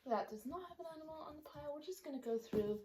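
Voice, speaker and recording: A woman's voice: sits high, expressive, racing, mellow, slight background noise. Chatty young woman talking through a retainer. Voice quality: slightly altered by a retainer. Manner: rambling, self-correcting, with many ums. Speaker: book vlogger. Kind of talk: casual chatty vlog. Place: outdoors or by a sunny window at home.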